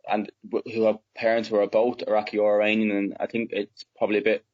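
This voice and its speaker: Young Dublin-accented man, low-pitched, halting casual answers, muffled recording. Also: little pitch movement. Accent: Dublin Irish. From Ireland. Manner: hesitant, many fillers and restarts. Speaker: footballer guest.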